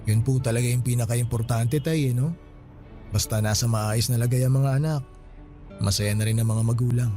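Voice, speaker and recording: Casting: male actor as earnest younger friend, soft mellow tone, respectful and sympathetic, radio drama. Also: medium pitch, steady tone, moderate pace, soft background music, close to an everyday voice. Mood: agreeable. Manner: polite, earnest.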